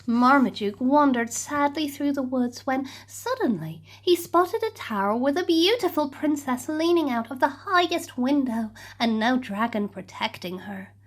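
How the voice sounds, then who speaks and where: A woman narrating a children's storybook in an expressive, playful way. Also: high voice. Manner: animated, slow and playful. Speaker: storybook reader.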